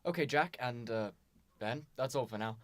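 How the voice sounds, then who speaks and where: A man's voice: medium pitch, moderate pace, dry recording, close to an everyday voice. A man playing an earnest police inspector in an amateur radio drama, matter-of-fact and full of questions. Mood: businesslike. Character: earnest, businesslike male police inspector investigating murder.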